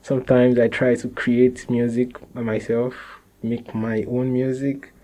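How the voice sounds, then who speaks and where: A young man with a Nigerian accent, answering interview questions in a relaxed way, often restarting phrases and laughing softly. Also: medium pitch, measured pace. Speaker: interviewee, musician.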